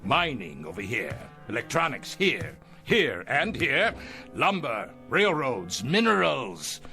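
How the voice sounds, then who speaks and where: Gloating, theatrical tycoon, swooping voice. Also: male voice, mid-range voice, very animated, bright, forceful tone, faint music behind, exaggerated voice. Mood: proud, boastful. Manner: theatrical, drawn-out phrasing with mocking relish. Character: gloating, ruthless self-made male business tycoon. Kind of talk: audio drama acting.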